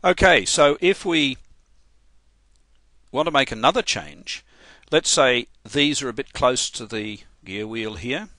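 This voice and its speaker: A man with an Australian accent talking through a software tutorial over his screen, in a casual, halting way with many fillers and restarts. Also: medium pitch, lively intonation, no echo. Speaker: software trainer.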